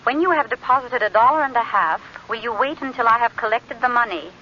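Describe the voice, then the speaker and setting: An actress playing a polite, businesslike telephone operator, in crisp and formal 1940s radio drama style. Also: female voice, a little high, fast talker, pushed and bright. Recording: muffled old radio broadcast with faint hiss.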